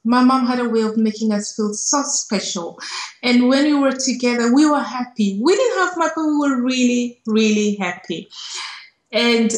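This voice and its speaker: Kenyan woman in a roomy video call. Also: fairly high pitch. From Kenya, grew up in Nairobi. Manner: reflective, emphatic repetition. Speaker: interview guest. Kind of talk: personal storytelling in an interview. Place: small indoor room on a video call. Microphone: earphone headset.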